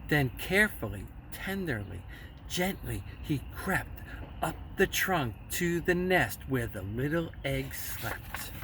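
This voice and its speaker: A man telling a children's story expressively, with dramatic, measured phrasing. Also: a little high, big pitch swings, bright, forceful tone. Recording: slightly distant mic, faint background noise.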